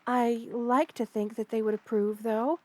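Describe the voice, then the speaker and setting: A woman voicing a kind, protective spider queen in an ASMR roleplay, speaking intimately and soothingly to the listener with hesitant pauses and formal wording. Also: a little high, little pitch movement, lightly acted. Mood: touched, loving.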